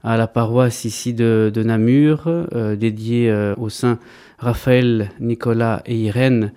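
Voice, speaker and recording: A man's voice: medium pitch, fairly even intonation, slow pace, mellow, clean tone. A man in his thirties, an Orthodox priest from Greece raised in Belgium, answering interview questions hesitantly with frequent fillers and restarts. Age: 30s.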